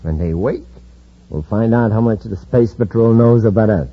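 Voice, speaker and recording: An actor playing a calculating, smug leader of space thieves in a 1950s radio drama, giving orders in a commanding way on a muffled old recording. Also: male voice, lowish voice, moderate pace.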